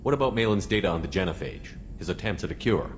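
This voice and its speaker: An actor playing a steady, probing human military commander in a video game, direct and even-tempered. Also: male voice, low-pitched, quick pace, big-room sound, slight background noise. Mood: casual, concerned. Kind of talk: video game character acting.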